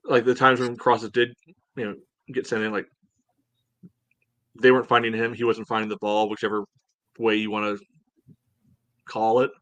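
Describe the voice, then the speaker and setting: A man co-hosting a soccer podcast, giving casual analysis in a measured, halting, conversational way. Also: lowish voice, level tone, no room sound, poor audio. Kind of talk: casual sports analysis chat.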